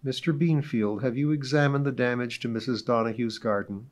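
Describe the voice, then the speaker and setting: A man reading aloud and voicing a stern, patient judge who speaks in a measured, authoritative way. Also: medium pitch, steady tone, close to an everyday voice. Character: stern weary male judge, dry and patient.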